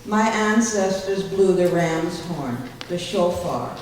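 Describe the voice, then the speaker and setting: A woman playing a deadpan talent-show alpine musician in a live radio-style comedy, recorded far off in a noisy hall. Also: fairly low pitch, slow pace. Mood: earnest. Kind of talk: live old-time radio comedy acting. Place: live stage show in a library.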